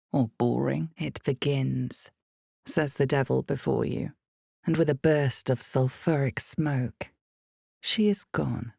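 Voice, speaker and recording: Casting: ominous fantasy game storyteller, female, very low and husky, slow deliberate delivery. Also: a little mellow, no room sound, studio quality, full character voice. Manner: measured, deliberate, theatrical with weighted pauses. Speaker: narrator of a fantasy role-playing video game. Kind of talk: dramatic second-person scripted narration.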